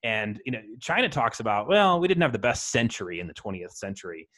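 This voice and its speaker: A man hosts a casual podcast interview in a conversational way. His voice is on the low side. He asks open questions and drops jokey asides between them. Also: very animated, brisk, a bit bright. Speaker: podcast host.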